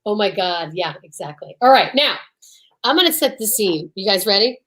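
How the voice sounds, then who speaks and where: A woman's voice: mid-range voice, lively intonation, normal speed, fairly bright tone. A woman co-hosting an old-time radio podcast, chatting casually and introducing the show in a gushing, theatrical way.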